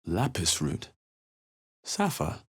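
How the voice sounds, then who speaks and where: A man voicing a wary, observant fantasy adventurer hero in a video game, with a husky voice and a wry, measured delivery. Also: low-pitched, wildly swooping pitch, a bit bright, full character voice. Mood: curious, musing. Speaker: video game player character. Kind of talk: video game voice lines, short reactive remarks.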